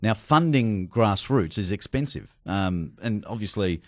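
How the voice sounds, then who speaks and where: A man's voice: lowish voice, animated, a little slow, dry recording, clean studio sound. Australian man hosting sports radio. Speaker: radio show host. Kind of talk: sports radio panel chat.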